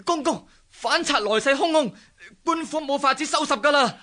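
A man's voice: very high voice, normal speed, bright, forceful tone. An actor playing a panicky, servile male underling in a historical radio drama, reporting urgently and deferentially to his master. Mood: panicked.

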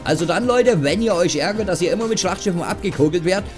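A man's voice: high voice, animated, fast talker. A man giving casual, tongue-in-cheek gaming news in a chatty, wry, informal way. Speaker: host of a gaming news show. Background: background music.

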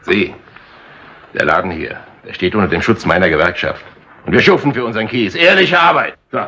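An actor playing a cocky, bossy bar crook with slangy bluster in a 1960s crime radio play. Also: male voice, lowish voice, fairly quick, pushed and bright. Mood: blustering, irritated. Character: cocky small-time crook and boss of a shady bar.

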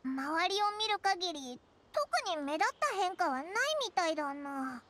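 A woman voicing a tiny, blunt, excitable fairy companion in an anime game, with a squeaky childlike voice and tomboyish, fussy manner. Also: unhurried, background noise. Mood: flustered. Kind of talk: Japanese anime game voice acting.

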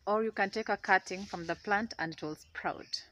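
A young Ugandan woman with a Ugandan accent, chatting excitedly to a phone camera in her outdoor garden. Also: mid-range voice, moderate pace. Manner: excitable, chatty, laughs often. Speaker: gardening vlogger. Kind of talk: casual chat to camera. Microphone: phone camera held close.

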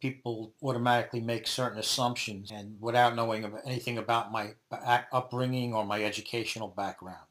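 A middle-aged man with a thick Brooklyn New York accent answers interview questions. His voice sits at an ordinary mid pitch. He speaks thoughtfully, often pausing and restarting sentences as he searches for words. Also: fairly even intonation, a bit bright.

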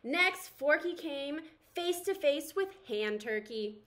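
Young female picture-book reader, expressive and animated, high-pitched, with lively intonation. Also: slow. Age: young adult. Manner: animated, storytelling to children. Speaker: art teacher reading a picture book aloud. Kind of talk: expressive children's read-aloud narration.

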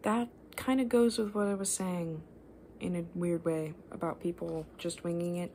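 A woman in her twenties doing soft-spoken, rambling ASMR, unscripted and self-deprecating, sometimes slipping towards a whisper. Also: lowish voice, fairly even intonation, normal speed. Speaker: ASMR video creator. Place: small living room at home, indoors. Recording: faint room noise on home recording.

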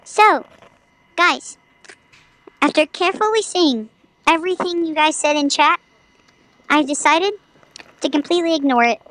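A woman's voice: high-pitched, a little slow, pauses often, fairly bright tone, poor audio. A woman streaming a video game with chatty, playful, mock-dramatic commentary. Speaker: gaming streamer. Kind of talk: casual livestream gameplay commentary.

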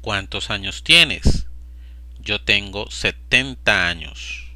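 A man slowly and deliberately repeating short phrases for language learners. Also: fairly low pitch, sharp tone, dry recording. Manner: slow, deliberate, evenly paced. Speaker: Spanish teacher. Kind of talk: slow repetition drill for learners.

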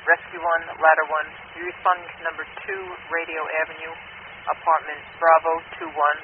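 A male fire dispatcher with a somewhat high voice repeats the units and address steadily. He is heard through a thin, phone-like scanner radio, with clear static behind him. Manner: repeats call details steadily.

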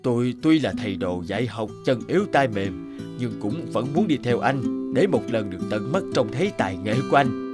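An actor playing a shrewd, authoritative folktale king who passes as a poor scholar, measured and probing. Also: medium pitch, animated, music in the background, natural voice. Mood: humble, probing.

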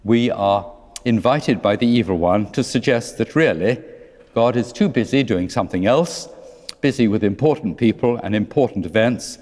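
An older man, a priest, preaching a sermon from a lectern in a large church, with gentle, wry storytelling and dry humor. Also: on the low side, normal speed. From England. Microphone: lectern microphone. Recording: long church reverb.

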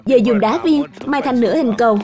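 Cartoon father, confident explainer, high register, voiced by a woman, music bed. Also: a little fast, natural voice. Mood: explaining. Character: earnest, confident cartoon father who likes explaining science. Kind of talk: children's cartoon dub.

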